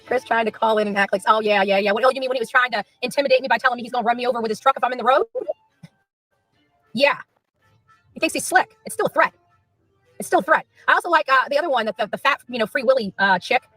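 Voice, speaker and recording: A woman with a very high, bright voice rants informally at a quick clip. She taunts and repeats her phrases for emphasis, close and dry over faint music. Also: no room sound, rough recording. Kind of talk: informal livestream rant.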